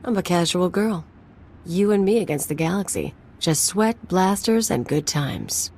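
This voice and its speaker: A woman's voice: fairly low pitch, expressive, unhurried, a little mellow. An actress playing a brash, flippant young female sidekick with a casually violent streak in a video game. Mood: playful swagger. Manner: flippant, swaggering. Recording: game music behind the voice.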